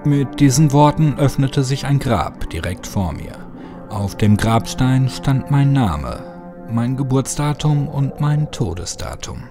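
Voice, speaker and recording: A man reading a scripted horror story as an audiobook narrator. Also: mid-range voice.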